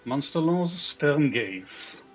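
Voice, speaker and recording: A man's voice: fairly high pitch, animated, slow, slightly rough, faint music behind. A man from Israel with an Israeli accent, streaming live, reading chat aloud and muttering half-sentences to himself as he builds a game character. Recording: dull lo-fi stream audio.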